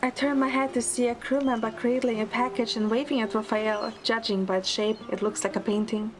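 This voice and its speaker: A woman performs as a young heroine telling her own story in an audio drama. Her voice sits fairly high, fond and quick-witted, with music clearly behind it. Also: little pitch movement, close to an everyday voice. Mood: narrating. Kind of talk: first-person scripted narration.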